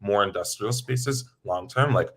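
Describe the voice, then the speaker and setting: Young man, relaxed and low-voiced. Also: unhurried. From United States. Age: young adult. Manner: relaxed, many filler likes. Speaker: guest, student engineer. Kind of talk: casual interview answers.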